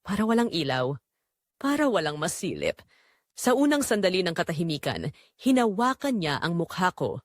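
A woman's voice: on the low side, sing-song, moderate pace, dry recording, studio quality. A woman narrating a scripted, confessional first-person story. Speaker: narrator of an audio drama, reading as the wife.

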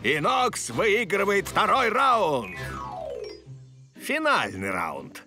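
Pompous prehistoric beast judge, slow, wildly animated cartoon announcing. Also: male voice, sits high, music in the background, full character voice. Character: pompous gorgonops contest judge, male. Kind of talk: Russian cartoon dubbing.

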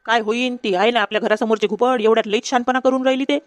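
A woman voicing a grumbling, sharp-tongued village mother-in-law in a comedy cartoon, with a Varhadi Marathi accent. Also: fairly high pitch, fairly even intonation, fast talker, no room sound, lightly acted. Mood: gossipy, resentful. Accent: Varhadi Marathi from Vidarbha. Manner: griping, gossipy, insulting. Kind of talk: comedy cartoon dialogue in rural dialect.

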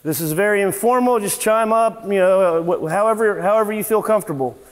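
A middle-aged man with a fairly high voice gives a casual, rambling seminar, full of fillers and restarts. He stands in a large workshop garage, heard from a distant camera. The sound rings with a long echo off the hard walls. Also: fairly quick. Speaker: service manager. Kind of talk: informal seminar talk. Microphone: far camera, no mic on him. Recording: echoey garage from far off.

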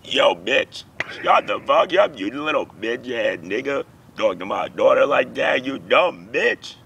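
A man's voice: mid-range voice, sing-song, unhurried, creaky, sharp tone, full character voice. A man playing an angry, abusive father on a prank phone call, aggressive and foul-mouthed. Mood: furious, abusive. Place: outdoors at night. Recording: faint outdoor background noise.

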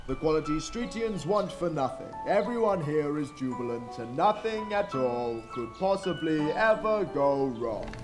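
A man's voice: a little high, lively intonation, a little slow, few pauses. A man acting as a grandiose storybook narrator, delivering theatrical scripted narration for a stage pantomime. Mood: grand, savouring. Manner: grandiose, savouring alliteration. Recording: theatre recording with music underneath.

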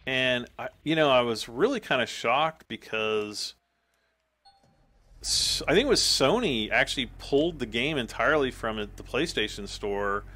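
A man with a hoarse, slightly worn voice talks casually over his gameplay at a medium pitch. He rambles loosely and keeps clearing his throat between thoughts. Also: sharp tone. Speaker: gaming YouTuber.

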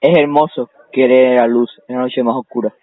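Un hombre que interpreta a un hijo adulto obtuso, evasivo e impasible en una broma telefónica. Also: male voice, medium pitch, normal speed, lightly acted. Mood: deadpan. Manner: deadpan, evasive. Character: unhurried, obtuse grown son handling household bills. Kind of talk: prank phone call. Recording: muffled, tinny phone line.

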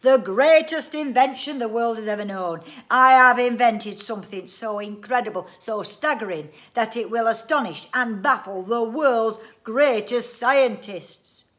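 A woman voicing a grandiose, excitable amateur inventor, a family man, in a booming, theatrical storybook manner. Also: unhurried, few pauses. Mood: triumphant, excited. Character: excitable, grandiose, eccentric male amateur inventor, husband and father.

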